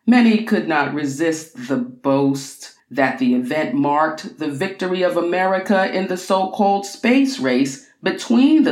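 A woman reading literary, scholarly prose aloud in a measured, deliberate way, with expressive phrasing and drawn-out words. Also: low voice, echoey room. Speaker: reader of a book excerpt.

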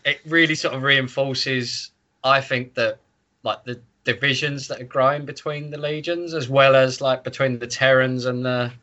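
A man's voice: mid-range voice, fairly even intonation, moderate pace, sharp tone, dull audio. A man co-hosting a podcast, casually discussing a book in an informal, sweary way. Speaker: podcast co-host.

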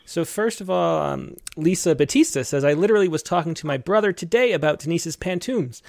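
Gravelly man hosting, halting. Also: on the high side, lively intonation, a little mellow, no echo, clean studio sound. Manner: hesitant, many ums. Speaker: interview host. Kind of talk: casual interview.

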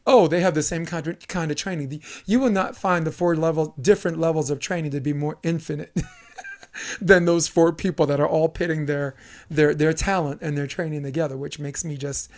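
A young adult man hosting a casual podcast, joking and rambling with quick asides. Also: a little high. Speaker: podcast host.

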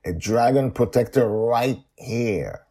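A man's voice: medium pitch, lively intonation, slow pace, warm tone, voice pushed up. A man voicing an earnest father in an audio story, incanting intensely and repetitively. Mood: intense, concentrating. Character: earnest father straining to summon a dragon.

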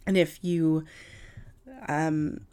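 Woman doing a casual solo podcast, kinda low hoarse raspy voice from a cold, talks slow and halting. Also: flat delivery, a little mellow. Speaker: podcast host. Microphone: cough drops in mouth, podcast recording.

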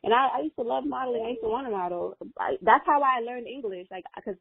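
A woman's voice: a little high, fast, vocal fry, breathy edge. A young woman whose first language is Spanish, an interview guest talking earnestly in a casual, rambling way with many fillers. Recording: dry, tinny phone-like audio.

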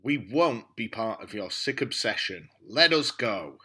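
A man's voice: lowish voice, lively intonation, unhurried, sharp tone, breathy edge. A man co-hosting a podcast, chatting casually and reading his part in an amateur script read-through. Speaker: podcast guest co-host.

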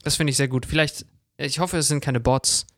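Guy chatting on a podcast, lots of vocal fry, pretty dry recording. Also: on the high side, steady tone, brisk, a bit bright, dry recording. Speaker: podcast co-host. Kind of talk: casual podcast chat.

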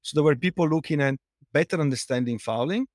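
A man's voice: fairly high pitch, quick pace, darkish tone, dry recording, clean studio sound. A middle-aged man from Italy with an Italian accent, answering interview questions in a hesitant way with many fillers and restarts. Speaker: guest, fouling researcher and company co-founder.

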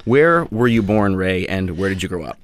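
A man's voice: low voice, very animated, moderate pace, no room sound. A man interviewing a guest, asking earnest, slightly formal questions. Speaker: producer, interviewer.

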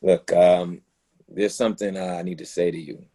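A man acting a hurt, frustrated young boyfriend in a naturalistic play reading, halting and repeating himself. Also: deep voice, fairly even intonation, mellow. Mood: hesitant, searching. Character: hurt, frustrated young boyfriend confronting his girlfriend about racism. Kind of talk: readers theatre play reading, naturalistic dialogue.

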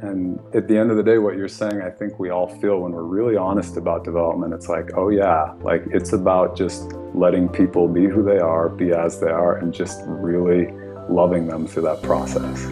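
A man's voice: low-pitched, level tone, normal speed, music in the background. An American man, a guest author, talking earnestly and thoughtfully in a podcast conversation, often laughing and saying "you know". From United States.

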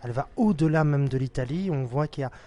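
A man's voice: mid-range voice, fast, dull audio. A man hosting an interview, praising his guest lavishly and asking questions. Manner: effusive, flattering. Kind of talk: interview host.

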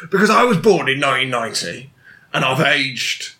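A man's voice: medium pitch, big pitch swings, bright, forceful tone. A man in his thirties joking in a casual chat, playful and absurd. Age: 30s. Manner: playful, absurd, quick jokes.

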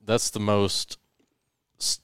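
A man's voice: takes it slowly, fairly bright tone, no echo, studio quality. A man co-hosting a podcast, teasing the others with joking banter. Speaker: podcast co-host. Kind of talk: casual podcast chat.

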